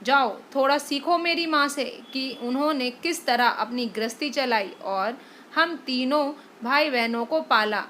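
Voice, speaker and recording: A harsh, complaining young husband, as a woman reader puts him on. The voice sits high and bright with a steady faint hiss behind it. He talks loudly and picks at everything. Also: a little slow. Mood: scornful. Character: irritable young husband, scolding his wife.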